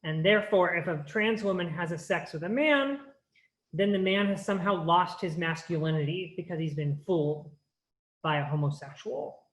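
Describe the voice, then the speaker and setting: A woman's voice: lowish voice, normal speed, rough recording. A woman giving an earnest, explanatory talk at an online conference, speaking over a video call with frequent restarts. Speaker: workshop presenter.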